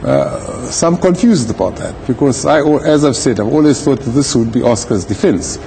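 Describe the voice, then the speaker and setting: A man speaking as a legal commentator in an interview, with a measured, deliberate manner and formal wording. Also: mid-range voice, sing-song, warm tone, a little hiss or hum. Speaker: legal commentator, retired judge. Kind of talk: live interview commentary on a court case.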